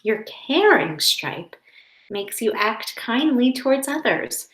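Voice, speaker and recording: A woman reads as a kind storybook mother comforting her little one. Her voice sits fairly high and her phrasing is tender and deliberate. The sound is clearly roomy and echoing. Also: very animated, fairly bright tone, heavily acted. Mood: reassuring, loving.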